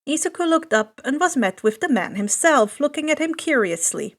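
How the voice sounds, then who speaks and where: A woman's voice: sits high, sing-song, moderate pace, a bit bright. A woman narrating fan fiction aloud as a podfic reader.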